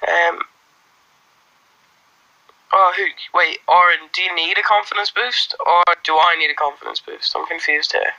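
A young man from Ireland, his Irish accent mostly worn away, talks into a phone held close in a small room. His voice sits a little high and comes across very bright and forward. He rambles loosely through viewer comments, full of ums and false starts. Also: moderate pace, vocal fry, pauses often, lo-fi sound. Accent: faint Irish, mostly worn off. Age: young adult. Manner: rambling, relaxed, many fillers and restarts. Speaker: livestreamer. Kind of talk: casual livestream chat reading comments.

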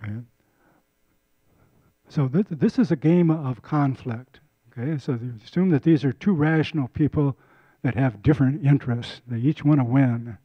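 A man's voice: mid-range voice, moderate pace, no echo, muffled recording. An older man giving a casual community lecture on a handheld mic, often repeating and restarting words. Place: lecture room.

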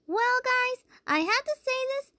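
A woman voicing a sweet, excitable young female cartoon character, exclaiming her lines haltingly. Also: very high-pitched, no room sound, studio quality, full character voice. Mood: delighted. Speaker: amateur voice actor. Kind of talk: performing scripted cartoon lines.

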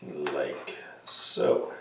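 Man, slow and unhurried, sniffly with a cold, filler-heavy, roomy distant camera sound, faint hiss. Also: a bass voice, flat delivery. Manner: unhurried, many "uh" fillers, talking while working with hands. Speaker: hobbyist repair tutorial maker. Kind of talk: casual step-by-step repair tutorial. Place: small room at a workbench, indoors.

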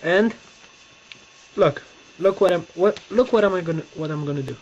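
A young man from Romania with a Romanian accent, Romanian as his first language, giving a halting step-by-step folding demonstration and searching for words, sitting on a bed in his bedroom and recorded on a webcam. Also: high voice, a little mellow. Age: young adult. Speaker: tutorial maker. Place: bedroom, seated on a bed indoors. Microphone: webcam close-up. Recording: dull lo-fi webcam audio with faint hiss.

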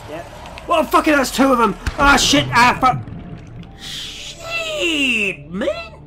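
Stuffy nasal guy w a cold, high lively voice, swears at sea creatures, game music faint behind. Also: drawn-out words. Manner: swears often and talks to the creatures on screen. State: head cold, stuffy nose. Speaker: gameplay streamer. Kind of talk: casual gameplay commentary. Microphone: headset.

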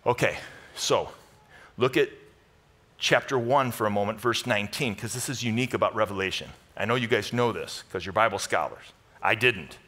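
A man's voice: fairly low pitch, normal speed, pushed and bright. A middle-aged American man preaching to a congregation as pastor, teaching in punchy, conversational short phrases with repeated emphasis. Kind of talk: sermon to a live congregation with Bible teaching. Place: church stage, indoors.

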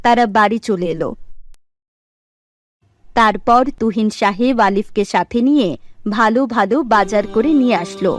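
A woman's voice: medium pitch, normal speed, long pauses. A woman reading scripted narration for a children's moral cartoon story. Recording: dry voice over faint music.

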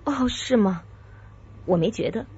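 A woman's voice: mid-range voice, animated, a little slow, husky. An actress playing a mysterious, decisive young woman in a suspense radio drama. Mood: reserved. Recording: dull lo-fi sound, loud music behind.

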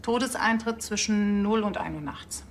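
Brisk female forensic pathologist played by an actress, factual delivery, medium pitch, noisy TV drama scene. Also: natural voice. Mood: matter-of-fact. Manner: matter-of-fact. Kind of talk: TV crime comedy acting. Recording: clear background noise and clicks.

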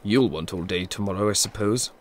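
An actor playing Scrooge, a bitter old miser who talks in a cold, dismissive, sneering way. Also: male voice, fairly low pitch, a little fast, noisy background, lightly acted. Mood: grudging. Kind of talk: audio drama acting.

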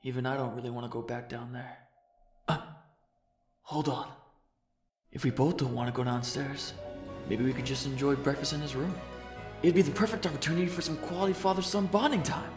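A man's voice: mid-range voice, fairly even intonation, brisk, lightly acted. A man voicing an awkward, well-meaning father with a hesitant manner in a comic fan dub. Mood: awkward, coaxing. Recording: roomy echo under music.

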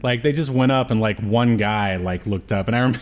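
A man's voice: mid-range voice, fairly quick, dull audio, slight background noise. A man, hosting a casual film discussion and recapping scenes from home. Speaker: podcast host. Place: small room at home.

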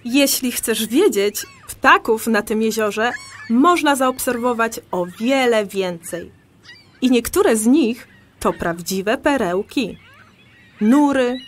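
An actress playing a patient, enthusiastic amateur birdwatcher who explains things in a conversational audio drama. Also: female voice, a little high, a little hiss or hum.

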